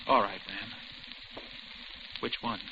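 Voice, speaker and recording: A man's voice: fairly low pitch, takes it slowly, gravelly, exaggerated voice. Guarded young counterman, noisy recording. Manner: terse, guarded, flat. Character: young male diner counterman, reserved, blank, faintly menacing. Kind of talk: 1940s radio acting. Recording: muffled, very noisy old radio recording.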